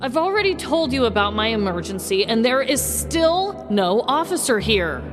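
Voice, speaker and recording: A woman plays a demanding, indignant female caller in a storytelling podcast. Her voice is raised in pitch and very animated, full of pushy sass, with clear music underneath. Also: moderate pace. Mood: exasperated. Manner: sassy, pushy.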